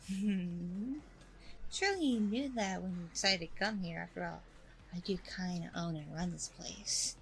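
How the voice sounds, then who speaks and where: A woman's voice: medium pitch, gravelly, low-quality recording. A woman playing a smug, self-promoting training center owner in a chatty ASMR roleplay, with breezy, self-satisfied customer-service politeness. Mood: welcoming, businesslike. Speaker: roleplay audio performer.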